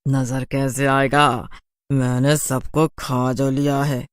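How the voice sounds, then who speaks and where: A man voicing a sly, boastful city witch in a cartoon horror story, in a slow drawl. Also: medium pitch, little pitch movement, clear, resonant tone, no echo, close to an everyday voice.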